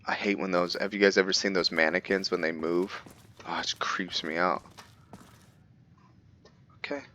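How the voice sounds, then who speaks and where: A man hosting a gaming video, musing aloud in a relaxed way as he plays and comments on what he finds. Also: low-pitched, moderate pace. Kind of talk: casual game commentary.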